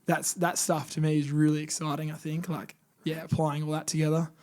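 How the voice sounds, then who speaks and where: A man's voice: fairly high pitch, a little fast. Laid-back Australian man in his late twenties. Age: 20s. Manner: relaxed, laughs often, lots of fillers like "like" and "you know". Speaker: music producer guest. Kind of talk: casual chat.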